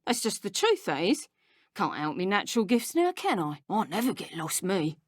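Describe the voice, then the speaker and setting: A woman playing a brash, boastful dark wizard poacher in a video game, with a working-class English accent and a cheeky, colloquial way of talking. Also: mid-range voice, big pitch swings, moderate pace, dry recording, clean studio sound. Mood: chatty, boastful. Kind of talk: scripted video game enemy voice lines.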